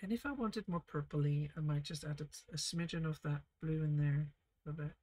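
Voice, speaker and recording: A woman leading a casual live painting lesson, reading viewer comments and chatting warmly as she paints, often laughing softly and trailing off mid-sentence. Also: low-pitched, normal speed. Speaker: art teacher streaming a painting session.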